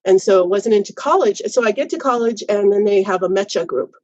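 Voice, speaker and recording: A reflective woman in her fifties, a professor, who works through her thoughts aloud with restarts and many "you know"s. Her voice sits at a middle pitch and sounds close and dry, with a slightly dull, lo-fi tone. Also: fairly quick, darkish tone, no room sound. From United States. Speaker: college professor, podcast guest. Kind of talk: reflective personal storytelling.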